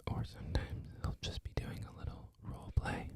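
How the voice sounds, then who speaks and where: An ASMR creator whispering a loose, rambling talk, full of "like" and "um" fillers. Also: moderate pace. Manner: soft whisper throughout, frequent "like" and "um" fillers.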